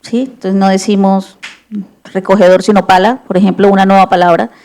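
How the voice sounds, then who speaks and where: A woman's voice: medium pitch, fairly even intonation, a little fast, darkish tone. A woman with a Bucaramanga Colombian accent, speaking thoughtfully at a literary panel. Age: adult with a partner. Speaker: panel writer. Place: library event hall.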